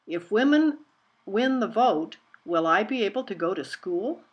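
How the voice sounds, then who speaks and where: A woman's voice: mid-range voice, animated, a little slow, lo-fi sound, close to an everyday voice. Woman reading as an emphatic, spirited young girl. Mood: puzzled, questioning. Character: spirited, indignant young girl, eager and questioning.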